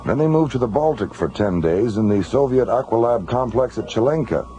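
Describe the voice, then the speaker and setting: Actor as lively male space commissioner. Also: medium pitch, brisk, slight background noise, close to an everyday voice. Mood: genial. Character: male space commissioner, genial yet wary.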